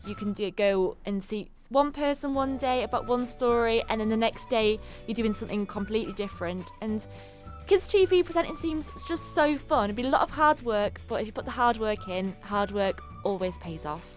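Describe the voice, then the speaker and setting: A woman's voice: fairly high pitch, expressive, moderate pace, few pauses, faint music behind. A young woman from northeast England, a student co-hosting a podcast, chatting enthusiastically with a nasal, cold-stuffed voice. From Darlington, northeast England. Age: young adult. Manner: enthusiastic, giggly. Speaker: podcast co-host. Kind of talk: casual chat.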